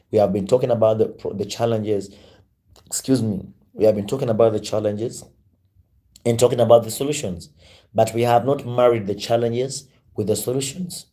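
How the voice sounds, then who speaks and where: A man's voice: lowish voice, warm tone. A Swahili-native man hosting a livestream, making an impassioned address to viewers and repeating phrases for emphasis. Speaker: livestream host.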